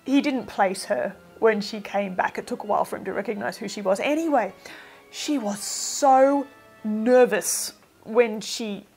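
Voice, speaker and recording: A woman's voice: mid-range voice, a little slow, a little mellow, slightly rough. Chatty woman reviewing films, music behind. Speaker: film reviewer presenting. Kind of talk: informal film review commentary.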